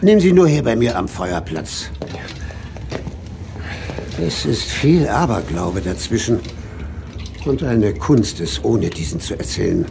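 Gravelly village schoolmaster storyteller. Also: male voice, medium pitch, sing-song, noisy background. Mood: measured, absorbed. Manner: measured, literary storytelling with emphatic swells. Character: thoughtful village schoolmaster telling an old tale. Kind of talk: German audio drama narration.

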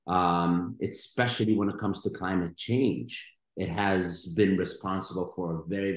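A middle-aged man from Ethiopia, a guest entrepreneur answering energetically on a video call. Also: low voice. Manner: energetic, gesturing with his hands. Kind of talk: webinar panel answer. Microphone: video call webcam. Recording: roomy webcam call.

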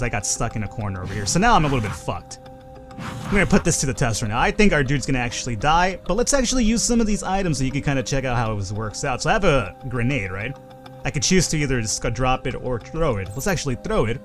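A young man giving casual, rambling, self-deprecating commentary over a game he is playing. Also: medium pitch. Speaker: gaming channel host.

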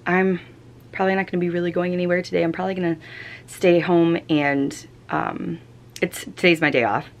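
Low-voiced young woman, chatty vlogger. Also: steady tone, brisk, gravelly. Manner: chatty, frequent ums and restarts. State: tired, fighting off a cold. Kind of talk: casual chat. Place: home, small room. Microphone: camera close up.

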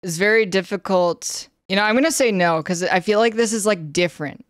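A young Canadian woman on a casual livestream, reading questionnaire items aloud and answering in a flat, slightly monotone way, full of "like" and "um.". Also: medium pitch, fairly quick, no echo, clean studio sound. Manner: flat, slightly monotone delivery with frequent fillers. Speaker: streamer. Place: indoor room, streaming setup.

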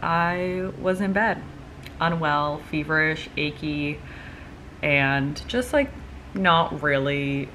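A young woman with a deep, slightly stuffy voice chats casually to the camera in an upbeat, rambling way. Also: a bit bright, a little hiss or hum. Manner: chatty, upbeat, rambling with fillers. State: stuffy nose. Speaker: vlogger and entrepreneur. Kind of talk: casual vlog chat to camera. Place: living room by a window.

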